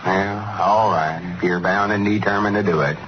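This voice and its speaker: An actor playing a scheming, self-important country storekeeper with a rural Southern US drawl in old-time radio comedy. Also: male voice, deep voice, fairly even intonation, moderate pace, natural voice. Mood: folksy, agreeable. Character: scheming folksy rural storekeeper, man, pompous salesman. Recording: muffled, noisy vintage radio recording.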